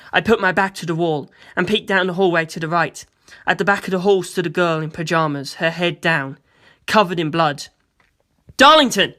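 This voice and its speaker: A narrator reading a first-person story aloud as an audiobook, in an expressive, conversational and dramatic way. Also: mid-range voice. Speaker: audiobook reader.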